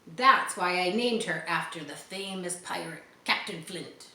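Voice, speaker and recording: A seasoned pirate cook acted out by a woman tells boastful yarns in a children's storybook style. The delivery is expressive, in a roomy, echoing space with faint noise. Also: on the low side, a little slow, far from the mic, heavily acted. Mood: storytelling. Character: Long John, seasoned one-legged pirate ship's cook, boastful storyteller.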